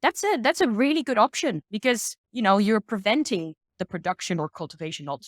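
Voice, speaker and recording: A woman's voice: medium pitch, expressive, fairly quick, no room sound. Woman, expert guest, informal and upbeat explainer, conversational, thinks aloud, dry recording. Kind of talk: casual explanatory discussion.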